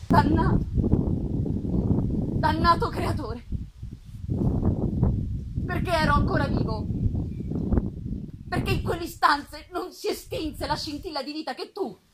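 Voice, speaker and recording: A woman's voice: very high-pitched, fairly bright tone, poor audio. A woman voicing an anguished, vengeful outcast male monster in an impassioned, theatrical gothic reading. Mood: anguished fury. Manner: impassioned, theatrical, drawn-out emphasis. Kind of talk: dramatic gothic novel reading. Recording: live festival recording with background noise.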